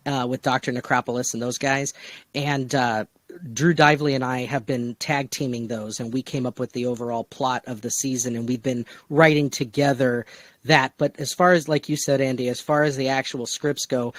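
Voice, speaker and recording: A man hosts a casual discussion podcast in a medium-pitched voice. The sound is dry and close, and he talks conversationally as he steers the group. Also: no echo. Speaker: podcast host and producer.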